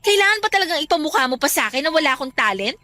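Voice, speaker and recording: A woman's voice: high voice, sing-song, racing, pushed and bright, heavily acted. A woman playing an earnest, studious young best friend, admonishing in a radio drama. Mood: hurt, admonishing. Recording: music bed under the voice.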